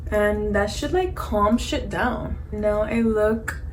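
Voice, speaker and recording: A woman in her thirties chatting casually to the camera while doing her makeup, animated and full of fillers and jokey asides. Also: medium pitch, takes it slowly, slight background noise, rough recording. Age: 30s. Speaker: beauty vlogger.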